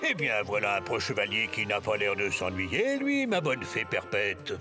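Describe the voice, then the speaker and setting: A man voicing a bored, courtly old king in a children's cartoon, husky and given to flowery formal speech. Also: lowish voice, sing-song, normal speed, loud music behind the voice. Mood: grand, puzzled.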